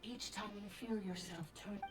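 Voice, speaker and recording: A woman voicing a solemn ancient dragon priestess speaking through a robot in a video game, formal, grave and deliberate. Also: medium pitch, fairly even intonation, over music, lightly acted.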